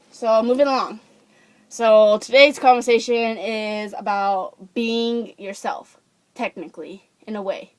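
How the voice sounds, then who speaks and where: A young woman from Japan, chatting casually into a camera and ranting, full of fillers and restarts. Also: a little high. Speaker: vlogger.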